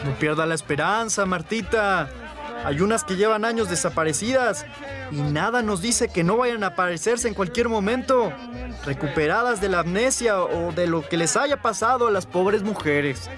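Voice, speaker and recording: An actor playing a jovial, glib police lieutenant with expansive, courteous, theatrical speech in a radio drama. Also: male voice, high-pitched, animated, normal speed, over music. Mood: glib, reassuring.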